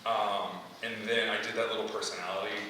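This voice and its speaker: Young male playwright taking feedback. Bright but low voice, hesitant delivery with pauses to find words. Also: fairly even intonation. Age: young adult. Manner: halting, searching for words. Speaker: playwright leading a post-reading feedback discussion. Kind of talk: discussion, answering audience feedback. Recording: echoey hall, distant mic, faint noise.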